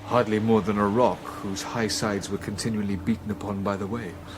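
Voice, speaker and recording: An actor playing an educated gentleman scientist with a haunted air, speaking in a formal, literary way in a gothic radio drama. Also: fairly low pitch, fairly even intonation, brisk, very noisy, natural voice. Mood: grave, haunted.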